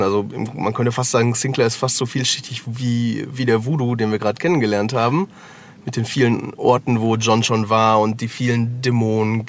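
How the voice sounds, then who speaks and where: A man speaking as an author guest in casual, reflective conversation, searching a little for his words. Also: mid-range voice.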